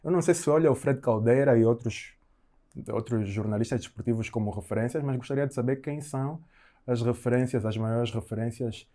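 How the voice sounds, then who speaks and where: A man hosting a podcast, chatting casually and agreeing often in a relaxed way. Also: mid-range voice, fairly quick. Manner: affirming, frequent agreement, relaxed. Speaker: podcast host. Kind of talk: casual chat.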